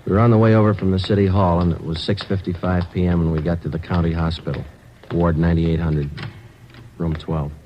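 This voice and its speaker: An actor playing a terse police sergeant in an old radio drama, speaking in a clipped, matter-of-fact way. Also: male voice, deep voice, level tone, a little fast, a little hiss or hum, close to an everyday voice. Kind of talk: 1950s radio drama acting. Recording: dull old radio broadcast recording.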